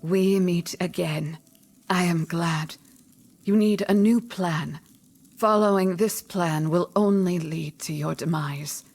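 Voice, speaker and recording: An actress playing a solemn, all-knowing mystic mentor in a video game, measured and cryptic in manner. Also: female voice, lowish voice, a little mellow, breathy edge, full character voice. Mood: foreboding. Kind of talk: video game cutscene acting.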